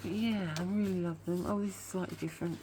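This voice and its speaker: Chatty woman, lowish voice. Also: fairly even intonation, fast, warm tone, slight background noise. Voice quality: slightly whiny. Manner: chatty, rambling, admits to waffling. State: sore tooth. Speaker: craft vlogger. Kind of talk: casual craft show-and-tell chat.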